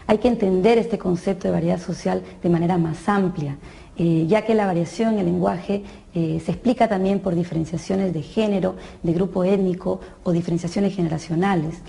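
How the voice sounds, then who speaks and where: Lady explaining language stuff, raspy low-key voice, lots of eh. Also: fairly even intonation, warm tone. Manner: measured, frequent "eh" pauses. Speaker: linguistics expert. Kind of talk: expert explanation.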